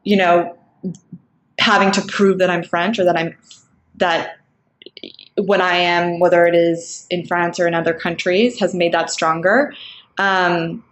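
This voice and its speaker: A young woman raised in Singapore with an American-sounding accent, speaking in a thoughtful, measured way with frequent ums in a recorded summit talk. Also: lowish voice, level tone. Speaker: nonprofit co-founder. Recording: echoey room, faint background noise.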